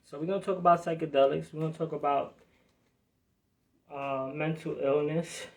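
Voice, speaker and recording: A man from New Jersey hosts a live podcast in a slightly high voice. He rambles casually and swears freely, in a streetwise manner. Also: steady tone, moderate pace. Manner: profane, rambling, streetwise talk. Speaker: podcast host.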